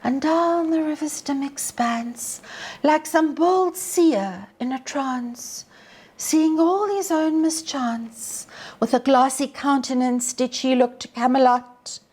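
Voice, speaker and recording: Woman, teacher, fairly high voice, slow pace, theatrical storytelling, measured phrasing, dips into hushed breathiness. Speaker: teacher reading poetry to pupils. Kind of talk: dramatic poetry recitation and storytelling.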